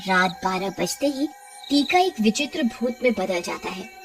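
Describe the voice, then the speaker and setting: A woman's voice: mid-range voice, lively intonation, a little slow, over music. A woman narrating a scripted cartoon horror story.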